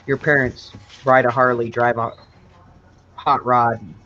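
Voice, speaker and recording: A woman's voice: a bass voice, flat delivery, unhurried, fairly bright tone, highs cut off. A woman in her forties co-hosting a casual livestream. She sounds congested and stuffed up, and she teases in a playful way. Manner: teasing and playful. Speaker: co-host of a live chat show.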